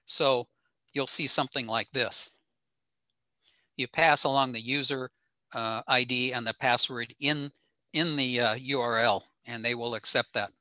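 Older male presenter, informal technical webinar, matter-of-fact delivery, bright tone, dry close sound. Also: medium pitch, fairly even intonation, measured pace, no room sound. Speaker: presenter at an amateur radio talk. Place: small room at home, on a video call.

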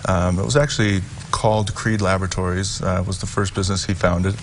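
A man in his thirties, seated on a TV studio set with a lapel mic, answering questions about his family business. He talks in a halting way, stopping and restarting with plenty of fillers. His voice sits a little low, with a faint hum behind it. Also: steady tone. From Utah. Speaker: company president, guest. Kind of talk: sponsored TV studio interview.

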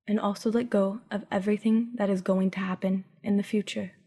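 Soft, mellow woman guiding sleep meditation. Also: medium pitch, fairly even intonation, husky. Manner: soothing, hushed, unhurried with long pauses. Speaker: guided meditation narrator. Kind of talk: guided sleep meditation, scripted narration.

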